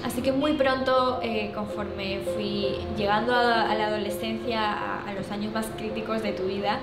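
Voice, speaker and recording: A woman's voice: mid-range voice, steady tone. Peruvian woman with a Spanish accent, echoey. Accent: Spain. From Peru. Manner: halting, many fillers and restarts. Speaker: youth group speaker. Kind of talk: personal testimony. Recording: echoey, distant mic, loud music behind.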